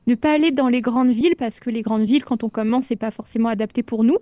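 A chatty radio host, quick to jump into the conversation and keep it moving. Her voice is high and bright, and it sounds close and dry with no echo around it. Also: steady tone. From Paris suburbs, France. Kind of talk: casual on-air chat. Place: radio studio.

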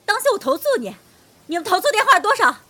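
A woman's voice: very high voice, sing-song, fast talker, sharp tone, full character voice. A woman playing a pushy, irritable, haughty customer who scolds and demands in an audio drama. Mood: angry, scolding.

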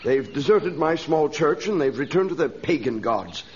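A man's voice: a little high, lively intonation, moderate pace, a little mellow, natural voice. A man playing an earnest, anxious missionary in a radio adventure serial, well-spoken and urgent. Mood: worried. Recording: dull, noisy old radio recording.

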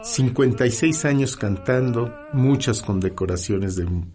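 A man's voice: mid-range voice, measured pace, a little mellow. A man talking conversationally as a guest on a talk show, recounting and quoting.

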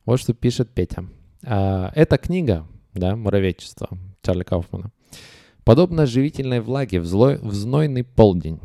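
A man's voice: on the low side, lively intonation, moderate pace, gravelly, warm tone, dry recording, clean studio sound. A man hosting a comedy podcast, announcing contest prizes in a playful, mock-grand way and reading out notes with asides. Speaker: podcast host.

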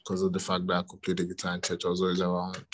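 A man in his twenties with a Ghanaian accent, a musician chatting in an interview, relaxed and often repeating himself. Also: sits low, racing, no echo. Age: 20s. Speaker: musician guest. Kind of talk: casual interview chat.

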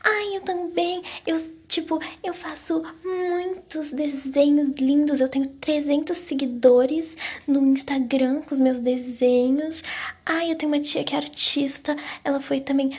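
A woman's voice: high voice, fairly even intonation, unhurried, a little mellow, voice pushed up. A Brazilian woman putting on a gushing, mocking, self-absorbed busybody with an exaggerated, showy delivery in casual storytelling. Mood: self-centred boasting.

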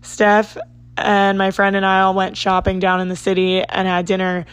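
A woman in her twenties from South Jersey, hosting a podcast in a rambling, chatty monologue, her voice hoarse and raspy. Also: mid-range voice, completely flat delivery, moderate pace, dry recording. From South Jersey, United States. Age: 20s. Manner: rambling, lots of "like" and asides. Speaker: podcast host.

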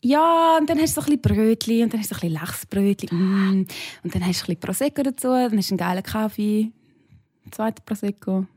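A woman's voice: mid-range voice, animated, quick pace, darkish tone. A woman from Switzerland speaking with a Swiss German accent, a playful, teasing podcast host chatting casually. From Switzerland, Sarganserland area.

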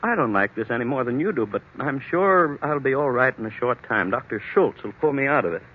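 Through a narrow, tinny old radio recording comes a bewildered husband. His mid-pitched voice is slightly rough and hesitant. He sounds anxious and apologetic, in vintage mystery drama style. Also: animated, a little fast. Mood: weary, apologetic. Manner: hesitant, faltering, repeats himself.

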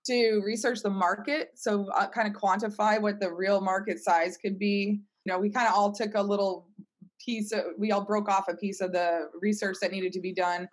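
A woman's voice: medium pitch, fairly even intonation, moderate pace. Woman casually explaining, mid-range voice. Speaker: startup team member.

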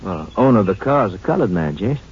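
A man's voice: sits low, sing-song, fast. Earnest assistant lawman, muffled. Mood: thoughtful. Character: earnest, deferential male lawman assisting a Ranger. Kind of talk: 1950s radio crime drama acting. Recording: dull 1950s radio recording, music behind.

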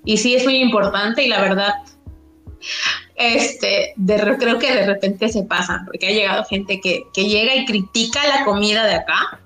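A woman's voice: medium pitch, moderate pace, fairly bright tone, echoey room, faint music behind, low-quality recording. A woman from Yucatán being interviewed, talking casually and rambling with many restarts and fillers. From Mexico, Yucatán.

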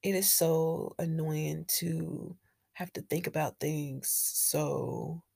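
A woman's voice: low-pitched, steady tone, takes it slowly, gravelly, dark tone, slightly rough, no room sound, studio quality. A young woman hosting a solo podcast, talking in a candid, blunt way with plenty of swearing and laughter. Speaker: podcast host. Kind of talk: casual solo podcast monologue.

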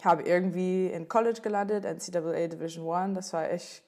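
A young American woman, a native English speaker, answering interview questions in halting German, often pausing to search for words. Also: on the low side, fairly even intonation, a little mellow. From United States. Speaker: guest.

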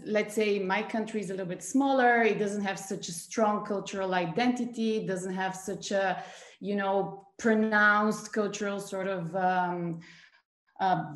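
A woman's voice: mid-range voice, vocal fry, echoey room. A woman from Bulgaria, a guest in an interview, speaking thoughtfully with many fillers and restarts. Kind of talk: conversational interview.

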